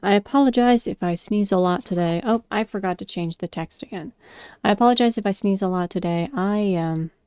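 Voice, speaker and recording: Mellow-voiced woman chatting while sculpting. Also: mid-range voice, fairly quick, clear, resonant tone, no room sound. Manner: apologetic, chatty, with fillers and self-corrections. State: a little congested. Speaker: sculpting vlogger. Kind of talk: casual spoken commentary over a craft video.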